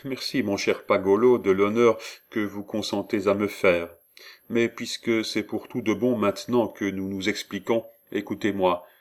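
A man voicing a spirited young woman in an audiobook, playful, frank and lively. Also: on the low side, normal speed, natural voice. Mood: frank, teasing.

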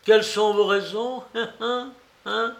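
A man's voice: fairly high pitch, takes it slowly, a bit bright. Man playing a mocking, falsely jovial magistrate, roomy sound. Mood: goading, amused. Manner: goading, chuckling, theatrically familiar. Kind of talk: dramatized audiobook reading. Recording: roomy, echoing voice recording.

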